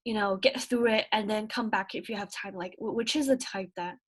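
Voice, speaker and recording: A young woman, a first-year student whose first language is not English, asking a halting question over a video call. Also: medium pitch, slightly rough. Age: young adult. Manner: hesitant, halting, many fillers. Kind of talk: question in an online workshop.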